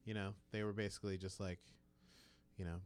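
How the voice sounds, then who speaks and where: A man's voice: low-pitched, level tone, brisk, darkish tone, slight background noise. A man, chatting casually as a radio guest and telling stories full of "like". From Brooklyn, New York. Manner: chatty storyteller, lots of "like". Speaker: guest musician.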